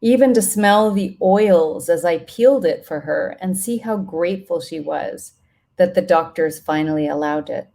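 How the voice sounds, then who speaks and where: A middle-aged woman reads a reflective personal letter aloud in a solemn, tender, measured way. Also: fairly low pitch, warm tone. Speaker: writer reading own letter aloud.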